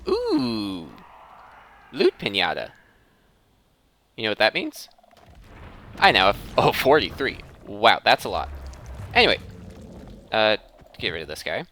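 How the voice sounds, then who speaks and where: A man's voice: fairly low pitch, wildly swooping pitch, a little slow, pauses often, pushed and bright, a little hiss or hum. A man giving casual gameplay commentary, thinking aloud and often interrupting himself mid-thought. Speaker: gaming video host.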